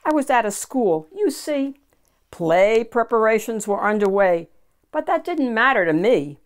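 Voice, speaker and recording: An older woman reads a children's picture book aloud in an animated, deliberate storyteller's manner. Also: fairly high pitch. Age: older, 60s or 70s. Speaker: children's storybook reader and host.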